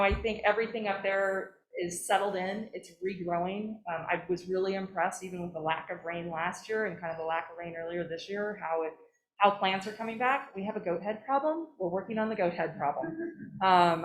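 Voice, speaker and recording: A woman's voice: medium pitch, fairly even intonation, fairly quick, a little hiss or hum. A woman giving an informal update at a council meeting, recorded in an echoey meeting room. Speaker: presenter reporting to a village council.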